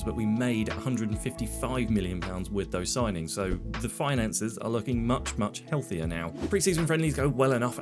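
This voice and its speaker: A man with a stuffy, cold-blocked voice, casually talking through his football management game, chatty and self-deprecating, often trailing off mid-sentence. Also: fairly low pitch. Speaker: game commentator. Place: home desk setup.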